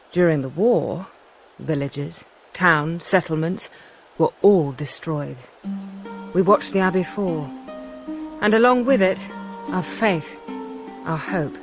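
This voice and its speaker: A noblewoman ruler, game cutscene narration, solemn, measured, earnest hope, clear musical score behind. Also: low voice. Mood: somber, grieving. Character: grave, hopeful noblewoman ruler rebuilding her war-torn land. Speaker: campaign narrator. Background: background music.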